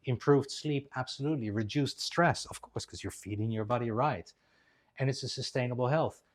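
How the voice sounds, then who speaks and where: A man's voice: mid-range voice, moderate pace. A man of about fifty giving a loose, chatty presentation, with many restarts as he talks. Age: 50s. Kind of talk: casual live presentation. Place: clinic presentation room.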